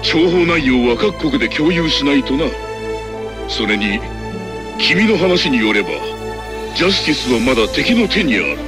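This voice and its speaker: An actor voicing a serious, weary older president in a measured, statesmanlike manner in a Japanese game. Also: male voice, medium pitch, very animated, close to an everyday voice. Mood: grave, weary. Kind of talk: Japanese anime game cutscene acting. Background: background music.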